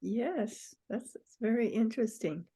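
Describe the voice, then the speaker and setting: Woman in her sixties, interview host on a video call, unhurried and halting, many ums. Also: on the high side, warm tone, breathy edge. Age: 60s. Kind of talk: casual interview hosting.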